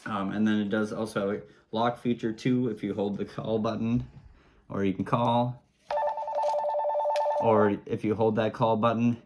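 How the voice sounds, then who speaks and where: A man with a fairly low voice walks through a product demonstration. He explains each step simply and informally, in an easygoing way. Clear noise runs behind his home recording. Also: level tone, unhurried. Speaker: product reviewer.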